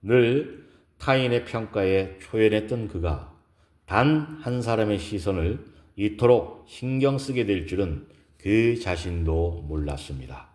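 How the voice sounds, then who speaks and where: Low-voiced man narrating a romance audio drama. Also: animated, unhurried, darkish tone.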